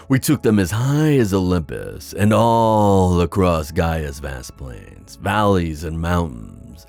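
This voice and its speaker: A man's voice: low voice, darkish tone, exaggerated voice. A man playing a flirtatious, confident giant sea god in an ASMR roleplay, teasing and theatrical in his delivery. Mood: nostalgic storytelling. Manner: teasing, theatrical, drawn-out phrasing.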